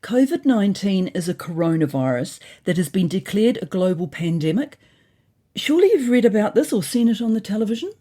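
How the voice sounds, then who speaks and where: A woman acts out a puffed-up female cat who knows everything. She lectures precisely in a husky storybook voice, with a lively rise and fall. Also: mid-range voice, fairly quick. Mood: superior. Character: knowledgeable, know-it-all female cat friend.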